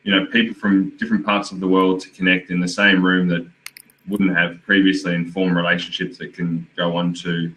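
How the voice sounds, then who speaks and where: Panelist, man, very low monotone voice, measured, filler-heavy. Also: low-quality recording. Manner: measured, with many "you know" fillers. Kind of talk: panel discussion answer.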